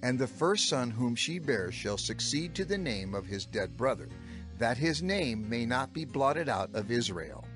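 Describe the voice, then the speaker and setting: An actor playing a solemn, commanding old male lawgiver in a dramatized scripture reading, with measured declamation. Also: medium pitch, sing-song, a bit bright. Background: background music.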